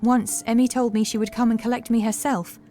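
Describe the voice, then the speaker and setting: Woman acting a mellow, fragile haunted housemaid. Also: a little high, little pitch movement, fairly quick, faint music behind, lightly acted. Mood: haunted, fearful. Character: fragile young housemaid haunted by cruel masters, dreamy and anxious. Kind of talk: dramatised horror audiobook.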